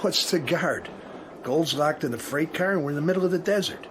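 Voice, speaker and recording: An actor playing a cocky, laid-back American federal agent in a radio drama, with a casual wisecracking manner. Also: male voice, a little high, fairly quick, background noise, natural voice. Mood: cocky, relaxed.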